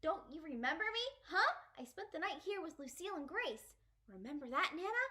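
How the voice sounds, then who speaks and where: A woman voicing a brash, chatty, excitable little girl in an animated children's book read-aloud, with dramatic exclamations and emphatic repetition. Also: extremely high pitch, brisk, pitched above the performer's own voice, heavily acted. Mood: eager, hollering.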